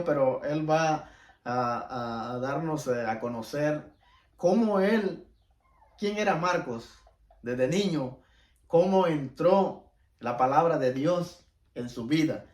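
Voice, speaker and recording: A man's voice: fairly high pitch, slow, a little mellow. A man hosting a church interview with an informal religious introduction, hesitant and often repeating his words. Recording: roomy echo, distant microphone.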